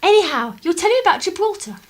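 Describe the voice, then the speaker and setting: A woman acting a headstrong young English daughter in a radio play. Her voice is high and swoops expressively. She is assertive, idealistic and quick to turn sardonic. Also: big pitch swings. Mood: frank, assured. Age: young adult. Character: defiant, idealistic eighteen-year-old English daughter, sharp-tongued.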